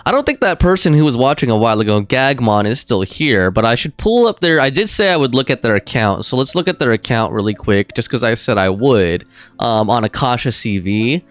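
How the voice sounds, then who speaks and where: A man's voice: mid-range voice, lively intonation, brisk, a bit bright. A man livestreaming a game, chatting casually in a rambling, slangy way as he thinks aloud.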